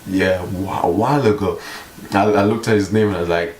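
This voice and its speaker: A young man talks casually to his camera in a mellow, lowish voice, rambling and joking at his own expense. The room is echoey, with a faint hiss underneath. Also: steady tone, brisk. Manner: rambling, joking, self-mocking. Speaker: vlogger. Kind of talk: casual vlog monologue.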